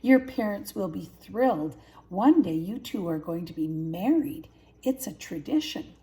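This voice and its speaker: A woman's voice: medium pitch, animated, a little slow, dark tone. A woman voicing a fussy adult male bird minder from a storybook, admonishing in a proper way. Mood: teasing.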